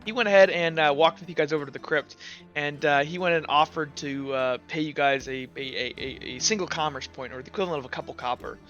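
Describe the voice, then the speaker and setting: A man working as game master, casually recapping a tabletop game in a halting way, stumbling over his words. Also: fairly high pitch, fairly bright tone, highs cut off, soft background music.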